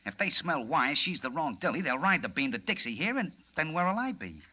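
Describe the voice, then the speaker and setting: High-voiced, jittery jive-talking hoodlum. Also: male voice, very animated, brisk, sharp tone, highs cut off, heavily acted. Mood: jumpy. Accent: American. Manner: slangy hepcat talk. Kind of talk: 1940s radio crime acting. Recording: old radio recording with music.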